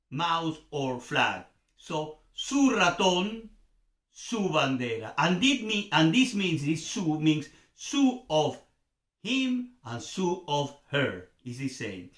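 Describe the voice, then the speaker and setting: Animated Venezuelan man teaching in echoey room. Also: fairly high pitch, takes it slowly. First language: Spanish. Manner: didactic, repeats examples, checks understanding with questions. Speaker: language teacher and host. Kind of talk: informal Spanish grammar lesson.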